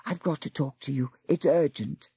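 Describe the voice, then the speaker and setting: A woman's voice: low voice, normal speed, vocal fry, dark tone, slightly rough, no echo, heavily acted. A woman voicing an earnest, brave young boy who speaks urgently. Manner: urgent, breathless pleading.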